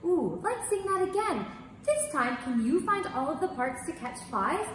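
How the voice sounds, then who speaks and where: A woman in her thirties teaches a kids' music lesson with a high, swooping voice. She talks with enthusiasm and exclaims often. Heavy echo fills the room, with a faint hiss behind her. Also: sing-song, moderate pace, fairly bright tone. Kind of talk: teaching children. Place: studio in front of green screen.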